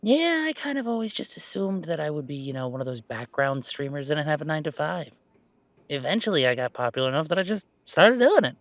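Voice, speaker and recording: A man playing a laid-back, self-deprecating young gamer in a roleplay audio drama, chatting in a halting, playfully exasperated way. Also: fairly high pitch, animated, dry recording. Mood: casual, reflective. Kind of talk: roleplay audio drama, casual one-sided conversation.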